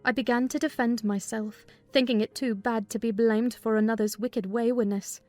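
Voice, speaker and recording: A woman narrator reading a classic novel as a dramatized audiobook, in an expressive literary manner. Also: fairly high pitch, normal speed, no echo. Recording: music behind the voice.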